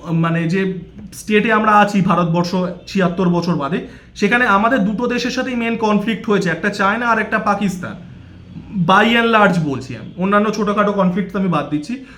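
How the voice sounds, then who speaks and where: High-pitched Bengali man in an echoey room. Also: fairly bright tone. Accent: Indian Bengali. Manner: emphatic, explanatory, code-switching into English, repeating phrases for emphasis. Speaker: movie review YouTuber. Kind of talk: opinionated film commentary to camera.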